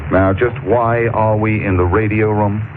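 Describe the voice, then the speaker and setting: An actor playing a cocky, sardonic amateur detective, flippant and teasing, in 1940s radio style. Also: male voice, lowish voice, fairly even intonation, a little slow, natural voice. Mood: mocking. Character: wisecracking, cocky, sardonic amateur male sleuth. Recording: tinny, noisy old 1940s radio recording.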